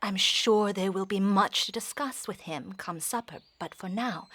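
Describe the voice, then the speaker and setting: A woman's voice: medium pitch, unhurried, a bit bright, dry recording. An actress playing a tactful noblewoman counselor in a fantasy audio drama, with formal, discreet archaic phrasing. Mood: hesitant, urgent.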